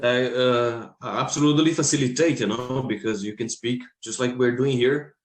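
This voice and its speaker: A man from Brazil with a Brazilian accent and Portuguese as his native language, talking informally as an English teacher in a halting, repetitive way. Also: medium pitch, steady tone. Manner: halting, repeats phrases. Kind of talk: informal interview discussion. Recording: roomy, echoing sound.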